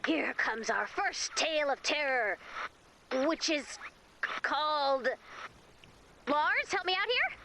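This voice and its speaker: An actress playing a quirky, upbeat young team leader in a video game, chatty and animated with comedic delivery. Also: female voice, high-pitched, takes it slowly, long pauses, background noise, heavily acted. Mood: theatrical. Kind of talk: video game character voice acting.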